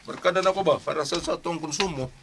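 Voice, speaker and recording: A man's voice: on the high side, fast talker, vocal fry, a bit bright, breathy edge, heavily acted. A man playing a jovial, wheedling husband in a comedy radio drama, coaxing with repeated tag questions.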